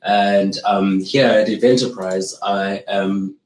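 Flat-voiced young South African man. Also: lowish voice, takes it slowly. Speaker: graphic design intern. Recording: echoey meeting room.

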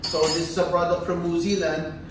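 Echoey, higher-voiced Filipino man presenting. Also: flat delivery, brisk. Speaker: host. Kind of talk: casual product demo presenting, mixing English and Tagalog. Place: small tiled demo kitchen, indoors. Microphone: distant camera microphone. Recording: echoey room, distant mic, background noise.

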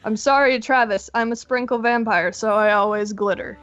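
A woman's voice: fairly high pitch, steady tone, moderate pace, fairly bright tone, faint music behind, close to an everyday voice. A woman voicing an exasperated young woman reading fan fiction aloud with dry, put-upon delivery in a Minecraft roleplay. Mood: reluctant, reading aloud.

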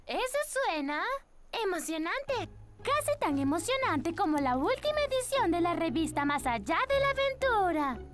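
A woman's voice: extremely high pitch, sing-song, moderate pace. An actress playing a sweet, earnest young girl in a children's cartoon. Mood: coy. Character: earnest, bright young cartoon girl, scheming but kind. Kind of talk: animated cartoon dubbing. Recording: music behind the voice.

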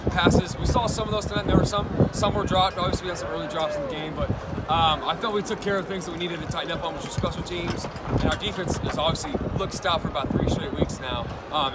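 A man giving casual postgame fan analysis outdoors in a stadium at night, talking with lots of fillers. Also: on the high side. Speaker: guest podcaster. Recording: loud outdoor stadium noise.

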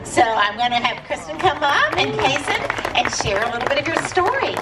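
Woman event host, upbeat and coaxing, slightly high voice, heard through loudspeakers from the crowd, echoey, noisy audience. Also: very animated, normal speed. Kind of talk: addressing a crowd with a microphone. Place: outdoor night event with crowd. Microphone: handheld mic through speakers, filmed from audience. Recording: echoey PA sound from far off, crowd noise.

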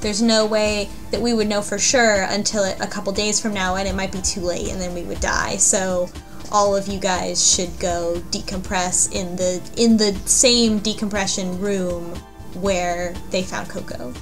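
A woman's voice: medium pitch, flat delivery, unhurried, soft background music. A woman co-hosting a podcast, chatting casually and wryly as she recaps a story. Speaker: podcast co-host.

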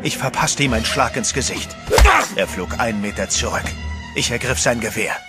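A man's voice: medium pitch, normal speed. A man narrating a horror radio play in the first person, tense and dramatic. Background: music.

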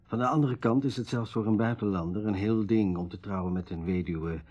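A man's voice: fairly low pitch, a little fast, a little mellow, natural voice. An actor playing a plain-spoken, self-assured young man who is a sympathetic confidant in a radio drama. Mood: tactful, probing. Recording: dull, noisy radio drama recording.

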